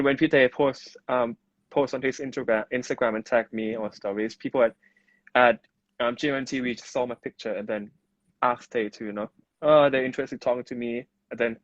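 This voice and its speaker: A man's voice: medium pitch, no room sound. Chatty young Thai man, lo-fi stream audio. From Thailand. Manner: chatty, many restarts and "you know" fillers. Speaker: guest, actor. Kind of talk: casual live-stream interview.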